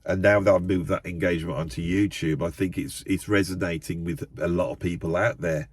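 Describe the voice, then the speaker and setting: A man with a loud, slightly lowered voice holds forth in an emphatic monologue from the driver's seat of a parked car. He repeats words as he builds his point, and his nose sounds congested. The car keeps the sound dry and close. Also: steady tone, brisk, slightly rough, no room sound. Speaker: YouTube channel host. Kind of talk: impassioned monologue to camera. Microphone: camera set up in the car.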